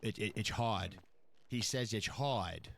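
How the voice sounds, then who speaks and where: A man's voice: on the low side, slow pace, creaky. A man playing an exasperated Italian-American New York mob boss in improvised gangster roleplay, profane, repetitive and sarcastic. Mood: bitter sarcasm. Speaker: streamer playing a crime boss in online roleplay.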